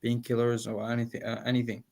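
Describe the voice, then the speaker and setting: A man from Palestine, a guest in an interview, talking earnestly with frequent fillers and pauses. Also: medium pitch, completely flat delivery, creaky, a little mellow. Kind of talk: casual interview.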